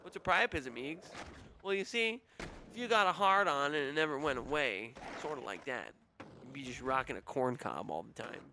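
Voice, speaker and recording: A man's voice: mid-range voice, lively intonation, normal speed, pushed and bright, a little hiss or hum. A young adult man with a nasal, whiny voice, rambling casually and making crude jokes while streaming a game.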